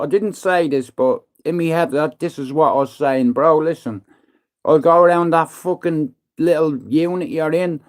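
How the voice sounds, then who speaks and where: A man, living in Manchester, telling a rambling, profane story on a livestream and acting out his own lines to others. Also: on the high side. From Ireland. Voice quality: profane, slangy, calls everyone bro. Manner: animated, acts out his own threats and taunts in hushed voice. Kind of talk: casual storytelling monologue.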